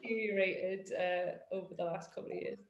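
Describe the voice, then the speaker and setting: A woman's voice: mid-range voice, fairly even intonation, moderate pace, muffled recording. A British woman hosting a casual fitness podcast, chatty and joking in a self-mocking way. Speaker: podcast host, personal trainer. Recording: roomy echo.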